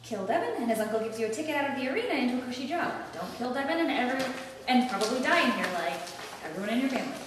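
A woman's voice: on the high side, big pitch swings, rapid-fire. A woman reading the part of a conflicted young woman arguing urgently with herself at a script table read. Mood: torn, urgent. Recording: very echoey room with background noise.

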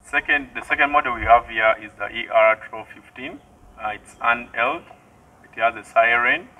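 A young man giving a product demonstration outdoors in short, halting statements, amplified through a megaphone. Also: medium pitch, fairly even intonation, noisy background. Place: outdoors by a building wall, some distance from camera. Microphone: megaphone and headset into portable speaker.

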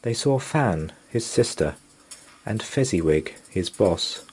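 A man reading a rhyming children's story aloud as a storyteller, with deliberate, theatrical expression. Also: on the low side, animated, darkish tone, breathy edge. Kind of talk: dramatic rhyming bedtime story narration.

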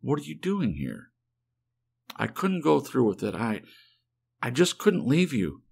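A man's voice: medium pitch, huge pitch swings, a little slow, gravelly, darkish tone, husky, pitched above the performer's own voice, full character voice. A man voicing an anxious, loving young wife who talks in a breathless, pleading way.

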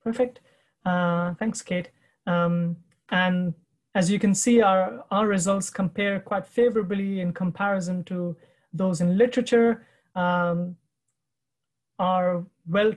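A man presenting research findings in a webinar over a video call, explaining slides in a measured way with frequent pauses and fillers. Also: sits high, darkish tone. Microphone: video call webcam.

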